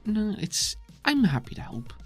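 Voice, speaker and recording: A man's voice: high voice, wildly swooping pitch, measured pace, dry recording, faint music behind, voice pushed up, full character voice. A man voicing an apprehensive, supportive woman friend in a tabletop game, earnest and anxious.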